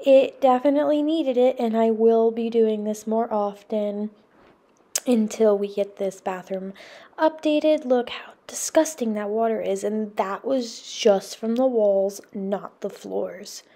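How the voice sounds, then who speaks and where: Chatty young woman with a mellow voice. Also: on the high side, unhurried. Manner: chatty, recommends products enthusiastically. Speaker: cleaning vlogger. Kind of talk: casual voice-over narration.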